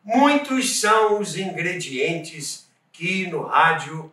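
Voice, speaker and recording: A man's voice: high-pitched, sing-song, takes it slowly, sharp tone. A Brazilian man narrating radio history from a script, with theatrical storytelling and chatty asides. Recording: echoey room, distant mic.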